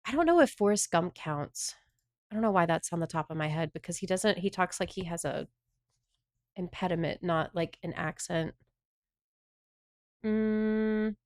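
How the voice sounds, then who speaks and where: A woman's voice: on the low side, lively intonation, moderate pace, pauses often, darkish tone, dry recording, studio quality. A woman from the Southern United States chatting casually on a livestream, rambling and answering her viewers' chat messages.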